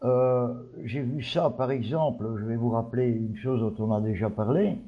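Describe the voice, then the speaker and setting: A man's voice: mid-range voice, moderate pace, muffled recording. A man from Belgium giving his opinions in a panel discussion, hesitant with many fillers. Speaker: panel guest.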